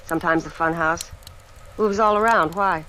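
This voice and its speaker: An actor playing an evasive amusement park worker in an old radio drama. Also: male voice, on the high side, normal speed, pushed and bright. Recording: old radio recording, faint noise.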